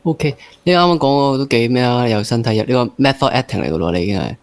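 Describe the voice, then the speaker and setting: A man's voice: mid-range voice, quick pace, no room sound. A man with a Hong Kong accent hosts a casual interview, asking informal, playful questions. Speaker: interview host.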